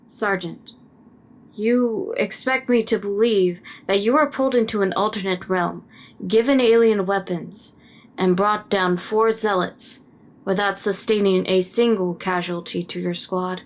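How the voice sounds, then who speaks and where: A woman's voice: medium pitch, fairly even intonation, measured pace, close to an everyday voice. A woman playing a stern, skeptical military intelligence interrogator in a sci-fi audio drama, curt and authoritative.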